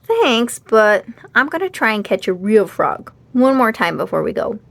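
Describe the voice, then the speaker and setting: A woman's voice: fairly high pitch, sing-song, moderate pace, gravelly, fairly bright tone, heavily acted. A woman voicing an eager, earnest young boy in a children's storybook. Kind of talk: children's storybook character dialogue.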